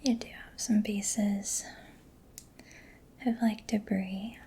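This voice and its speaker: A woman speaking in a soft, breathy whisper, gentle and soothing, in a close-miked ASMR personal attention roleplay as a mechanic. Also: mid-range voice, steady tone, drawn-out words, slight background noise. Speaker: ASMR roleplayer playing a robot repair mechanic. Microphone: close microphone.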